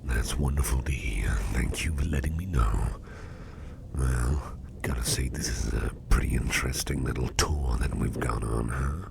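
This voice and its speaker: A man voicing a mischievous boss with sharp teeth in an ASMR roleplay, talking in a soft, teasing half-whisper. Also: rumbling low, normal speed, gravelly, background noise, heavily acted. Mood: playful.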